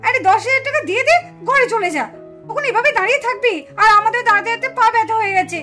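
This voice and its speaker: A woman's voice: very high-pitched, racing. A woman playing a stubborn, teasing young woman in a puppet show, with insistent, emphatic delivery. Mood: impatient, pushy. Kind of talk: animated puppet-show dialogue. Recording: music behind the voice. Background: music.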